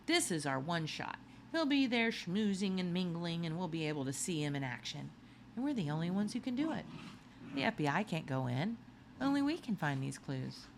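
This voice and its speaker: Woman voicing an animated, insistent young sleuth. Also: fairly low pitch, normal speed, slight background noise. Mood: determined, persuasive. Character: clever, determined young narrator hero, eager amateur sleuth.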